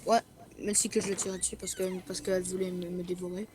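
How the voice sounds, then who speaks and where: A performer with a halting, repetitive delivery improvising a jittery, watchful survivor in a zombie game roleplay. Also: medium pitch, little pitch movement, natural voice. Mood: explaining. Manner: halting, repeats words.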